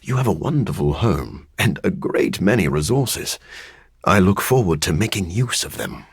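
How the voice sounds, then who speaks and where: An actor playing a brooding, scholarly vampire in a video game, speaking formally with dry wit in a low, husky voice. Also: male voice, lively intonation, normal speed, no echo, heavily acted. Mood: measured, grave.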